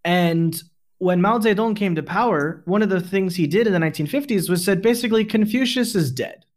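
A man's voice: high voice, fairly quick. A man hosting a podcast, explaining his points at length in a conversational way. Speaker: podcast host.

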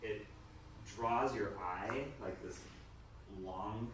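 Relaxed husband, low voice, talking outdoors with echo and clear background noise. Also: male voice, extremely slow. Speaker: vlogger's husband. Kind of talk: casual chat. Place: outdoors under a covered patio.

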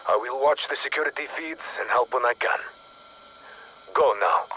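An actor playing a defiant Soviet resistance fighter in a video game, with a Russian accent and an emphatic, dramatic delivery over the radio. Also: male voice, mid-range voice, normal speed, lo-fi sound, a little hiss or hum. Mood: urgent, determined.

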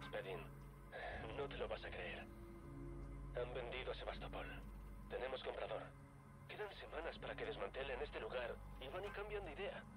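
A man's voice: medium pitch, animated, normal speed, pauses often. An actor playing a male station worker confiding in relief on a video game audio log, with a radio-like filter.

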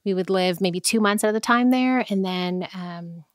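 A woman raised in the Philippines as a guest on a podcast, speaking in a soft, reflective way about her childhood and faith. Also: medium pitch, normal speed, no echo, clean studio sound. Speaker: interview guest. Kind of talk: personal testimony in conversation.

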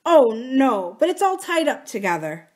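Woman acting soft, pleading, manipulative young woman. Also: high voice, big pitch swings, a little slow, voice pushed up. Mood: coaxing, confiding. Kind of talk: audiobook character dialogue.

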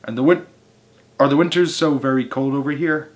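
A man's voice: a little high, fairly quick, pitched above the performer's own voice, close to an everyday voice. A man doing an eager father monkey. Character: earnest male father monkey, eager and anxious.

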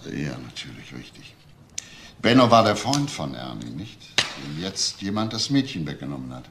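An actor playing a methodical police detective, asking persistent, measured questions in a crime radio play. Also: male voice, rumbling low, big pitch swings, fairly bright tone, full character voice. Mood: measured, probing. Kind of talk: German crime radio drama acting. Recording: faint background noise.

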